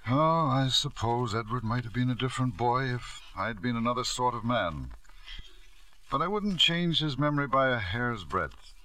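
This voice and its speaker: An actor playing a proud, stubborn older father in vintage radio drama, insistent and smooth-talking. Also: mid-range voice, lively intonation, moderate pace, sharp tone. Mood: defensive. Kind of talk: 1940s-50s radio drama acting.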